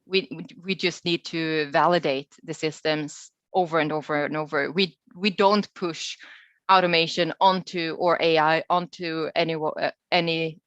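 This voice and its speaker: A woman researcher with a fairly low voice explains her points in an online Q&A. She speaks haltingly, repeating words as she searches for the next one. The video call sound is dry and somewhat dull. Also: fairly even intonation, a bit bright, dry recording. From Sweden. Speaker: guest researcher and speaker answering questions. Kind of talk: webinar Q&A, unscripted explanation.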